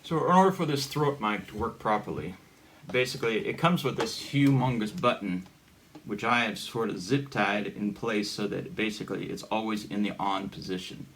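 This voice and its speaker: Low-voiced man giving a halting casual tutorial. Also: gravelly, a bit bright. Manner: halting, rambling explanations. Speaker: hobbyist presenter giving a gear tutorial. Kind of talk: casual unscripted demonstration. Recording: noticeable background noise with clicks.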